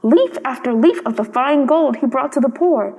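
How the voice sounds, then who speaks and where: Woman, high voice, storybook narration, long reverb. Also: lively intonation, moderate pace, darkish tone. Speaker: storybook reader.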